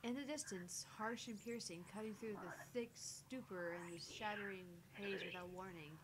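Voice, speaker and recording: A woman streamer reading a visual novel aloud as theatrical first-person narration, with deliberate phrasing. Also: medium pitch. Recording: loud background noise, electrical hum, clicks.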